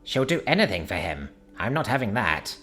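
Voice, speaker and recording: Dude reading as a dry sarcastic girl, kinda flat and unbothered. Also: lowish voice, moderate pace, faint music behind. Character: dry, sarcastic young woman, Jackie.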